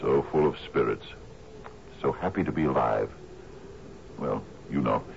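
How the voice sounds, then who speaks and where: An actor playing an aging, gruff sea captain in a 1970s radio drama, commanding yet lonely, halting in his speech. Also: rumbling low, heavily acted. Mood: wistful, lonely. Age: older. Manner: gruff, halting, swings from muttering to shouting. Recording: dull, noisy 1970s radio broadcast.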